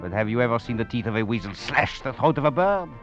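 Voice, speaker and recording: A muffled vintage radio drama with faint music under the voice. A male actor plays an urbane French master thief, a man of middling pitch who tells his story with courtly, wry relish and theatrical flourish. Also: expressive, quick pace, a bit bright, close to an everyday voice. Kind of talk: 1940s radio drama acting, first-person narration.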